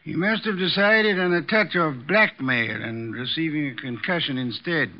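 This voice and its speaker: Guy playing a pompous bossy detective genius, old radio drama, highish voice, muffled old recording. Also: normal speed, slight background noise, close to an everyday voice. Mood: measured, authoritative. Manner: pompous, formal diction, commanding. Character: imperious, precise, unhurried male detective mastermind. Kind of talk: 1950s radio drama acting.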